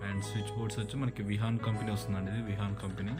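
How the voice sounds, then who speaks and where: Fast, flat-toned Telugu Indian man narrating. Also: fairly low pitch, over loud music. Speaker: property agent presenting a house on his channel. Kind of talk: walkthrough narration of a house tour.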